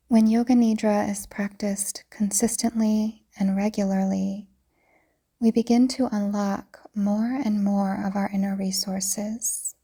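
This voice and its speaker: A woman yoga teacher narrating a guided meditation in a soft, breathy, soothing way, deliberately, with pauses. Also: medium pitch. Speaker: yoga nidra teacher guiding a practice.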